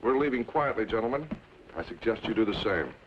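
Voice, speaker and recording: A man's voice: on the low side, expressive, a little fast, natural voice. An actor playing a formal, menacing frontier lawman in an old-time radio western. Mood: coolly threatening. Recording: muffled vintage radio recording, faint noise.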